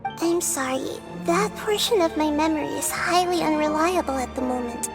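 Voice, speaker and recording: A woman voicing a young, gentle goddess who speaks softly and reassuringly. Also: high voice, moderate pace, close to an everyday voice. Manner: soft, kind, reassuring. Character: young gentle female goddess, calm, thoughtful. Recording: game music behind.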